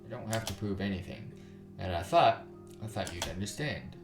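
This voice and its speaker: A man's voice: low voice, animated, normal speed. A man voicing a guarded, sardonic young woman with emotional delivery in a visual novel. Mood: hurt. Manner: snarky, emotional. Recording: roomy echo over guitar music.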